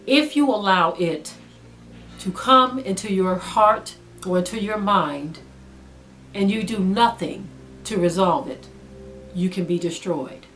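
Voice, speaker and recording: A woman preaching a sermon to an online audience, earnest and exhorting, slowing down to stress her points. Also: medium pitch, a bit bright, a little hiss or hum. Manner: earnest, exhorting, slow and emphatic with rising intensity. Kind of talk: spoken sermon to a video audience.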